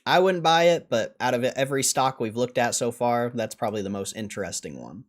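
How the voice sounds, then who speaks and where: A man's voice: mid-range voice, lively intonation, fairly quick. A man hosting a livestream in a casual, blunt and dismissive way, swearing now and then as he answers chat. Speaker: livestream host.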